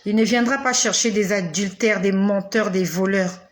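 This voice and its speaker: Emphatic woman preacher in her forties. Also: medium pitch. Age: 40s. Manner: slow, emphatic repetition with dramatic pauses. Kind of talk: sermon addressed to the listener.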